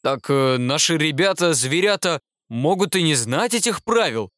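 A man's voice: on the high side, expressive, moderate pace, fairly bright tone, no echo, clean studio sound. A man voicing a cautious male mole, a school watchman in a children's storybook, who asks worried, hesitant questions. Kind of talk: storybook character voice.

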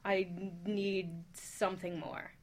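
A woman's voice: medium pitch, flat delivery, slow pace. A woman chatting casually and personally as a panelist on a talk show.

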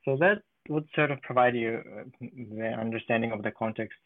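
A man from Ukraine, a guest in an interview, speaking in a thoughtful, measured way with frequent pauses. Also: medium pitch, dry recording. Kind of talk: conversational interview. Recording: tinny phone-like line.

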